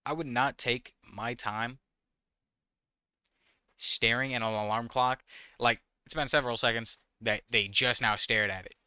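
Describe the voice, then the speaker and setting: Bright-voiced man reading a game aloud. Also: medium pitch, steady tone, measured pace, clean tone, no echo, studio quality. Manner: stumbling over words when reading. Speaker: gaming YouTuber, host. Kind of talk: reading visual novel text aloud with casual commentary.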